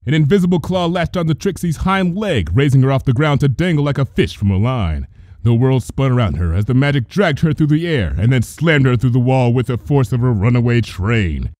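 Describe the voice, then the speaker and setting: A man's voice: medium pitch, animated, brisk, darkish tone, no echo, studio quality. A man reading a story aloud as a theatrical, intense narrator. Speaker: fan fiction reader. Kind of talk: dramatic scripted story narration.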